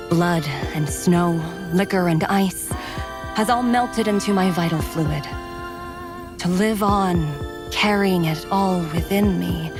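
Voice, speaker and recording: A woman voicing a loyal, battle-hardened female android warrior in a video game, speaking in a measured, sincere, soldierly way. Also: lowish voice. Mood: reflective. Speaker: video game character voice actor. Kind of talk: scripted game character voicelines. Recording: studio voice under loud pop music. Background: loud pop music.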